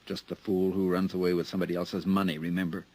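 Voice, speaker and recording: An actor playing a nervous, hunted male embezzler in a 1950s radio drama, edgy and halting. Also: low voice, little pitch movement, a little mellow, close to an everyday voice. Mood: weary.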